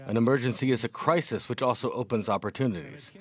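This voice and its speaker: A man delivers a voice-over translation for a news report. His pitch is average for a man and the wording is scripted. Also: fast.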